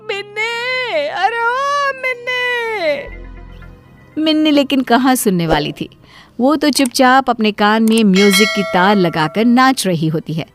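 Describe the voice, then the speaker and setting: A woman with a high voice narrates a moral cartoon story from a script. Her telling is simple and measured, with soft music faintly behind her. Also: lively intonation.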